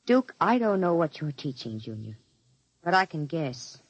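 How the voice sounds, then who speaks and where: An actress playing a frightened, world-weary accomplice of a criminal in a 1950s radio drama, anxious and bitter. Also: female voice, low voice, sing-song, normal speed, no echo, muffled recording. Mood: anxious, accusing.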